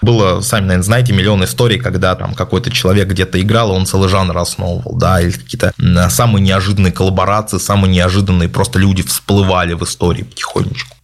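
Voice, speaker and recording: A man hosting a casual question-and-answer session, giving his opinions in a measured way with many hesitant filler sounds. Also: deep voice, flat delivery, gravelly. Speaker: host answering viewer questions. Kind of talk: casual spoken Q&A.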